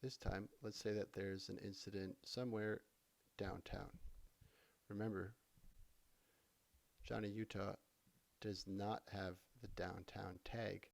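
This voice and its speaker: A man gives an instructional voice-over for a screen recording. His voice sits on the low side with plenty of vocal fry. He talks conversationally, like an instructor explaining each step in turn. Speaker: software tutorial instructor. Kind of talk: casual instructional screencast narration.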